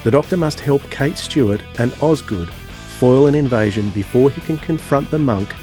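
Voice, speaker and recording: A man's voice: mid-range voice, normal speed, mellow. A man co-hosting a podcast, talking conversationally and reading out prepared text. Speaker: podcast co-host. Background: acoustic guitar music.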